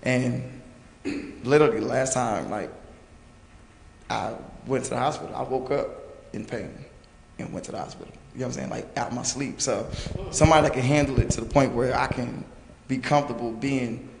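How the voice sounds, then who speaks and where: A hoarse young man on a talk panel, his voice gravelly and fry-heavy. He rambles along in a loose, casual way, circling back with fillers, while a long reverb trails after his words. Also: medium pitch, moderate pace. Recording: long reverb, faint noise.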